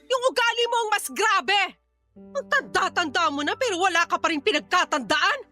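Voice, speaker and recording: A fed-up, scolding grandmother in a radio drama. Her voice is extremely high and piercingly bright, swinging widely in pitch as she confronts and rebukes. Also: expressive, normal speed, faint music behind, heavily acted. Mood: angry, scolding. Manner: confrontational, exasperated, emphatic.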